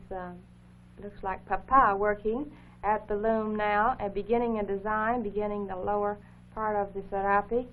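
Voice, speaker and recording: Woman on a humming, tinny old broadcast. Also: medium pitch. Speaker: co-host of an educational program. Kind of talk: unscripted explanatory commentary over film footage. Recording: phone-like 1950s broadcast with faint hum.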